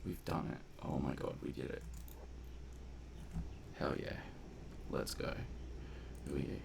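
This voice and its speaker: A man's voice: extremely low pitch, completely flat delivery, slow pace, gravelly, noisy background. A man giving casual, playful gameplay commentary, half muttering his reactions to himself. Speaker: game streamer and commentator.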